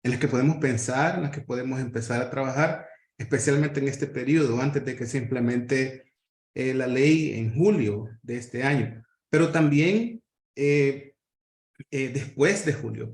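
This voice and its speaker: A man speaks earnestly on a video call, working through his point with frequent pauses and restarts. His voice sits mid-range and rings in an echoey room. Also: mid-range voice. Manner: earnest, halting with fillers and restarts. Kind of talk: workshop discussion.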